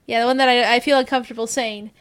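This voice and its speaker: A woman's voice: fairly high pitch, fairly even intonation, rapid-fire, a bit bright, clean tone. A woman who works as a game effects artist, chatting casually and rambling with many restarts. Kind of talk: casual podcast chat.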